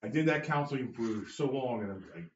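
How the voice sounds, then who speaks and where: A man's voice: medium pitch, lively intonation, brisk, slight background noise. A man hosting a casual chat, blunt and given to swearing, acting out what he and others say. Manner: blunt, swears, acts out quotes. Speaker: podcast host, jiu-jitsu instructor. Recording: roomy, slightly dull sound.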